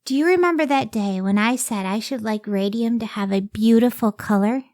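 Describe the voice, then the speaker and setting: A woman playing a devoted, idealistic scientist in an old-time radio drama, speaking earnestly and with measured care. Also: fairly high pitch, darkish tone, natural voice. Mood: tender wonder.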